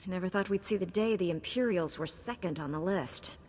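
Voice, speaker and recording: An actress playing a resolute, principled knight commander in a video game, speaking firmly and with composure. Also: female voice, lowish voice, a little fast, darkish tone, in a large hall, lightly acted. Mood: dry. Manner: firm, composed.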